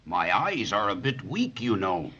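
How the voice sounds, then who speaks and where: An actor playing a kindly, gentle giant with weak eyes in an old radio drama, folksy and slow. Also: male voice, fairly low pitch, very animated, breathy edge, full character voice. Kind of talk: 1930s radio drama acting. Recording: dull old radio recording.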